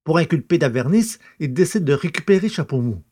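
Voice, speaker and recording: A man with a slightly high voice delivers scripted narration for an audio drama serial. He acts as the narrator, recapping in a storytelling register. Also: lively intonation, brisk, mellow, slightly rough.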